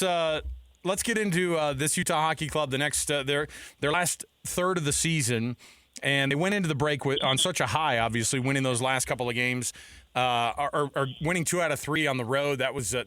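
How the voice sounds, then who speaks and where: A man hosting sports radio with casual, joking banter and questions in halting, restarted phrases. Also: fairly high pitch, pushed and bright, no echo.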